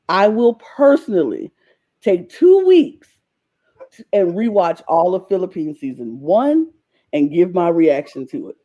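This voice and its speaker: A man co-hosting a podcast in casual chat, emphatic and animated as he speaks directly to fans. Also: fairly high pitch. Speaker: podcast co-host. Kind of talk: casual chat reacting to reality TV. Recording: dull lo-fi mic.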